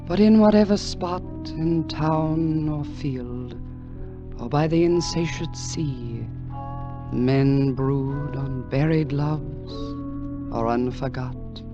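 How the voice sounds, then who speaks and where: An actress playing an immortal, majestic queen in a 1940s radio drama, speaking grandly and solemnly. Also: sits low. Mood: solemn, elegiac. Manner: grand, slow, solemn declamation. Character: immortal sorceress queen, majestic, commanding and tender. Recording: dull old 1940s radio recording with music.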